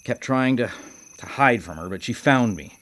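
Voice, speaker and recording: An actor in a radio drama playing a guilt-ridden male killer, halting as he confesses and menacing in manner. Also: medium pitch, lively intonation, fairly bright tone, close to an everyday voice. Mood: subdued confession. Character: brooding guilt-ridden male serial killer, haunted by his mother. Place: live radio drama broadcast.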